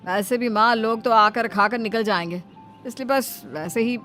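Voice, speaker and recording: A woman voicing a dutiful, earnest son of thirty in an animated moral story. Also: on the high side, normal speed, natural voice. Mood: reassuring. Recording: music behind the voice. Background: background music.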